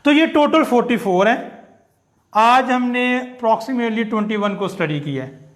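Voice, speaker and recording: A man teaching an online English phonetics class, slowly repeating each sound and example phrase. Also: extremely high pitch, animated, a bit bright, echoey room.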